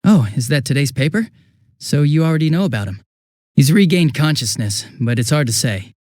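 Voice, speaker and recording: A man acts out a hapless young police detective for a video game. His voice sits fairly high and swings in lively fashion, with a chatty, flustered, rambling delivery. The recording is dry and close. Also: fairly quick, no echo, lightly acted. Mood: concerned, gentle. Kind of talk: English video game voice acting.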